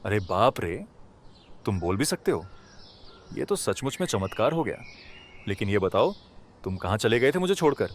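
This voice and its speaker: A man's voice: fairly low pitch, sing-song, normal speed, pauses often, slight background noise. A man voicing a kind-hearted, emotional poor villager in a Hindi cartoon, speaking in a dramatic, pleading way. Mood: astonished, eager. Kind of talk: Hindi animated moral story dialogue.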